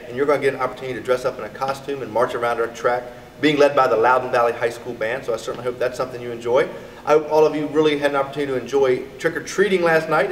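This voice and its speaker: A middle-aged American man reading a picture book aloud to children, expressively and addressing them directly. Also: mid-range voice. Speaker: elementary school educator reading to pupils. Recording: echoey, distant mic, background noise.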